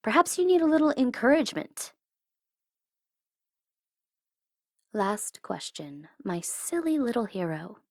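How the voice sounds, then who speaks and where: A woman's voice: on the high side, sing-song, no room sound, clean studio sound. A woman playing a taunting, coy and commanding supervillain who is secretly smitten, in an ASMR audio roleplay. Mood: commanding, coaxing. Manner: taunting, coy, commanding, with drawn-out deliberate phrasing. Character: teasing, menacing tsundere female supervillain, secretly smitten.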